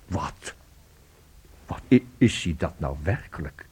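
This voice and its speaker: An actor in a radio play playing a fussily polite company official who speaks in a formal, pompous and somewhat hesitant manner. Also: male voice, low-pitched, animated, takes it slowly, a little mellow, husky, exaggerated voice. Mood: astonished.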